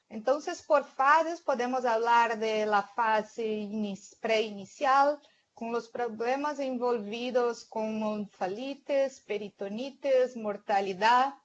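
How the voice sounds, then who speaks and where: A woman's voice: a little high, a little hiss or hum. A woman whose first language is Portuguese, with a Brazilian accent, giving a webinar lecture as a technical manager, speaking carefully and correcting herself often. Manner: careful, halting, with self-corrections. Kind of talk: slide presentation lecture. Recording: muffled lo-fi webinar audio.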